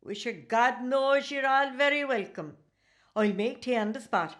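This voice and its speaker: Woman as a high-voiced, kindly Irish country housewife. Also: measured pace, a bit bright. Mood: welcoming. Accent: rural Irish. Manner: homely, colloquial, effusive. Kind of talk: radio play acting.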